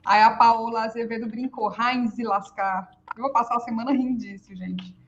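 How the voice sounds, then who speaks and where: A woman's voice: on the high side, steady tone, moderate pace, a little hiss or hum. Playful Brazilian woman reading chat, lo-fi. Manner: playful, laughing asides, hesitant restarts. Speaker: moderator reading viewer comments. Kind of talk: reading live chat comments aloud.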